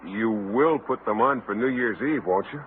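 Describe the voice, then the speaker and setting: An actor playing a mild-mannered, oblivious bachelor who stammers a little, in a 1950s radio sitcom. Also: male voice, medium pitch, normal speed, phone-quality sound, natural voice. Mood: flustered. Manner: hesitant, stammering. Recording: thin 1950 radio broadcast with hiss.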